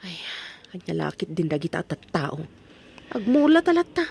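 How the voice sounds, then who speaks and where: Husky, fretful Ilocano farmer's wife, woman acting. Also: lowish voice, very animated, moderate pace, a little mellow, heavily acted. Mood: indignant. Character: fretful, grumbling farmer's wife, practical and indignant. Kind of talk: comic radio drama acting.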